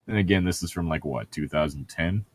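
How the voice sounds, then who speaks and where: A man's voice: sits low, husky, no echo. A man bantering casually as he reads a novel aloud, swearing freely and heckling the text. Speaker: co-host of a comedic read-aloud show.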